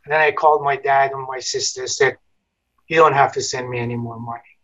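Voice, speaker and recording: A man's voice: medium pitch, fairly even intonation, fairly quick, fairly bright tone. An older man from northern Iran with a Persian accent, a guest telling his life story in an earnest, formal way with frequent hesitations. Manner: earnest and formal, with frequent ums and repeated words. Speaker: guest, business college dean. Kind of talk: interview storytelling. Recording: dull lo-fi sound.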